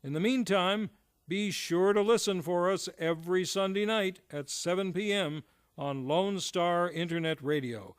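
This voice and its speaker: Man, high-pitched voice, formal polished old-time radio announcer, scripted sign-off delivery. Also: a little slow, clear, resonant tone. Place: live on a theater stage.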